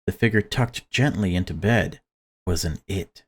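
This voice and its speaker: A man's voice: low voice, normal speed, husky. A man reading a visual novel aloud as a let's player, breaking off into casual commentary.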